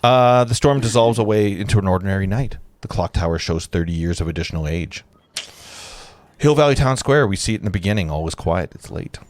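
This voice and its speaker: A man's voice: sits low, expressive, a little fast, dry recording. A man narrating stage directions at a live table read, informally, breaking off now and then to comment. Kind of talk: casual live script read-through.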